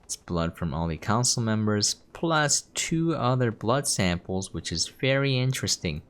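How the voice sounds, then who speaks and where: A man's voice: on the low side, expressive, unhurried, fairly bright tone. A man hosting a game preview with casual, off-the-cuff gameplay commentary. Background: game music.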